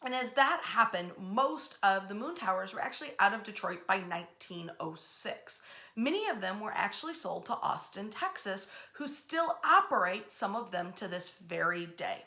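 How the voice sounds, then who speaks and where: A woman's voice: mid-range voice, expressive, normal speed, sharp tone. A woman hosting a history series, narrating expressively in a bedtime-story style and speaking directly to the listener.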